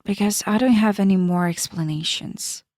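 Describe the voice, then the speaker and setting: A woman's voice: mid-range voice, moderate pace, a little mellow, dry recording, clean studio sound, lightly acted. A woman reading the lines of a polite, well-read married man in a storybook narration, with little change from her own voice. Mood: explaining.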